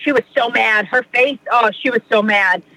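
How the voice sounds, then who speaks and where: Bright-voiced middle-aged woman telling her story. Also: fairly high pitch, dry recording, rough recording. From Massachusetts. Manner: rambling, many fillers, quick laughs. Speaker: podcast guest. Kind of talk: casual storytelling in an interview.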